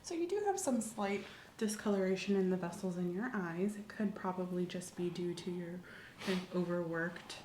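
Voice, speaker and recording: A woman playing a calm, attentive doctor in an ASMR roleplay, speaking softly, often in a near whisper, with gentle step-by-step instructions. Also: on the low side, normal speed, reverberant, slight background noise, natural voice, poor audio. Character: calm, attentive female doctor giving an exam. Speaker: ASMR performer playing a clinician. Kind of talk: soft-spoken ASMR medical roleplay.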